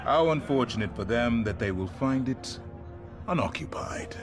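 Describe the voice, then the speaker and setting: An actor playing a serious, sceptical soldier in a video game, earnest and dry in manner. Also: male voice, mid-range voice, animated, moderate pace, over loud music, natural voice. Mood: dry resolve. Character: serious, exasperated soldier, sceptical brother-in-arms. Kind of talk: video game cutscene acting.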